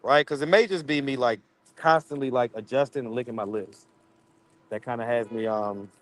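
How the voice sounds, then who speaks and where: A man with a slight lisp, hosting a casual livestream and chatting with viewers as he reads out their comments. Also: medium pitch. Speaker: livestream host. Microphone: streaming mic.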